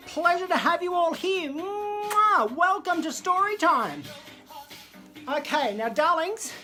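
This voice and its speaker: Theatrical woman story host, very animated pitch. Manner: theatrical, camp, exclamatory. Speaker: children's story time host. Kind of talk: animated storybook reading to camera. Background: disco music.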